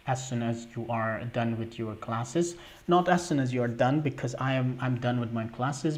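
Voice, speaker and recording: A young man hosting a live question-and-answer stream, reading viewer questions aloud and answering in a halting way with many fillers. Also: mid-range voice. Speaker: livestream host answering scholarship questions.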